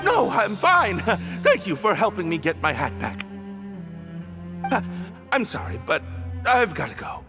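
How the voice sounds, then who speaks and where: A man's voice: high voice, huge pitch swings, measured pace, long pauses, music in the background, exaggerated voice. An actor playing a nervous, awkward, evasive young man in a video game, speaking hesitantly. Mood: flustered, evasive.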